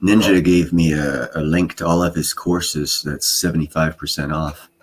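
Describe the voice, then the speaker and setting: Low-voiced guy on a stream, easygoing, trades jokes with the host. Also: steady tone, moderate pace, slight background noise. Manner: laid-back, joking. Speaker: livestream co-host. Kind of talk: casual livestream chat.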